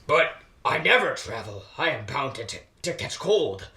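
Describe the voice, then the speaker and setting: A man's voice: mid-range voice, very animated, moderate pace, sharp tone. A man playing a grumpy, haunted miser cat with theatrical bluster in an amateur radio play. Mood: indignant, alarmed.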